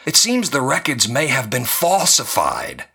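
An actor playing a courteous, knowing male curator in a radio drama, gossipy and deliberate, with meaningful pauses. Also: mid-range voice, huge pitch swings, vocal fry, sharp tone, slightly rough, full character voice. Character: courteous, knowing male small-town historical society curator.

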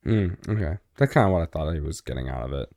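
A young man co-hosting a podcast in casual chat, joking and informal, with a boomy voice close to the microphone. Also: expressive, fast, vocal fry, a little mellow, no room sound. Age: young adult. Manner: joking, informal, self-interrupting. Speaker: podcast co-host.

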